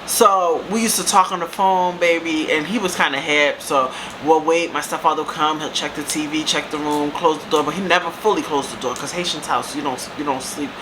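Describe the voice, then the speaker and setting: A woman in her thirties with a deep voice, telling a casual storytime to her viewers and calling them baby and girl. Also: fairly quick, few pauses. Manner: chatty, calls viewers baby and girl, lively asides. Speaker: storytime vlogger. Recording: steady air-conditioner hum behind.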